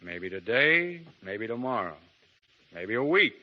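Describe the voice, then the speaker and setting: A cool frontier lawman who gives orders without raising a sweat. His drawl is dry and unhurried, and it rises and falls a good deal. The sound is muffled, in the manner of a 1930s radio broadcast. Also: mid-range voice. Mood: dry, calm. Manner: calm, dry, commanding drawl. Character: cool, steely male frontier gunfighter lawman, middle-aged. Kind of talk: 1930s radio drama acting.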